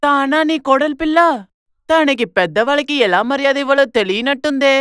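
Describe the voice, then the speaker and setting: A woman's voice: high-pitched, expressive, a little fast, a bit bright, dry recording, studio quality. A woman voicing a judgmental, gossipy older family friend in a moral story cartoon, full of disapproval. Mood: disapproving.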